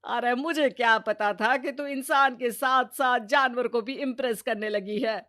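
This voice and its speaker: A woman's voice: on the high side, unhurried, fairly bright tone. A woman voicing an exasperated older mother-in-law in a cartoon story, chiding bluntly. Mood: scolding, exasperated. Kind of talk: Hindi moral-story cartoon acting.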